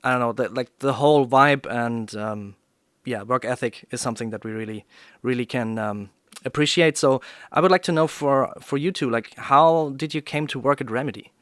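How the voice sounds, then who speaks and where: A man's voice: mid-range voice, a bit bright, no room sound, clean studio sound. A man hosting a podcast, asking casual questions in a friendly, enthusiastic way. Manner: friendly and enthusiastic, quick to laugh. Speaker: podcast host.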